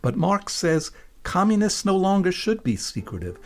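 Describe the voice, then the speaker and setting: A man presenting and reading scripted narration on radio in a measured, explanatory manner. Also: high voice, very animated.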